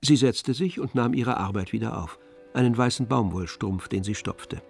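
A man narrating a literary radio play in a measured, composed manner. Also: mid-range voice, vocal fry, darkish tone, slightly rough. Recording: dry studio with faint music.

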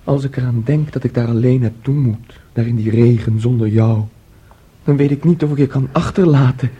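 An actor in a Dutch radio play playing a gentle, childlike, dreamy young man with a soft, breathy voice and naive manner. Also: mid-range voice, normal speed, dull audio, close to an everyday voice. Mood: melancholy. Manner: boyish, gentle, naively imaginative. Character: Thomas, soft, childlike, dreamy, easily swayed young brother.

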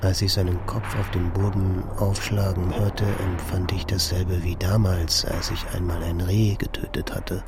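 An actor playing a darkly exalted man who recounts events in deliberate short phrases, as dramatic first-person radio play narration. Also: low-pitched, flat delivery, gravelly. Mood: intense, awed. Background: loud music.

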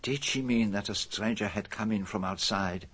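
Self-assured detective, low voice, formal phrasing, methodical, dramatic emphasis, radio drama. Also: male voice, normal speed, fairly bright tone, husky. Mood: reflective, dramatic. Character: famous male detective, methodical, self-assured, theatrical in revealing a murderer.

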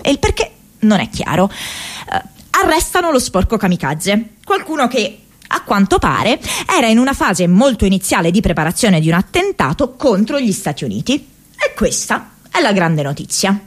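A woman's voice: mid-range voice, sing-song, moderate pace. An earnest young activist girl in a radio play, rambles and stammers, voice goes up and down loads. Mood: earnest, reflective. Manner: hesitant, rambling, with stammers and repetitions. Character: earnest, restless, quirky young American woman activist. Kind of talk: theatrical radio monologue.

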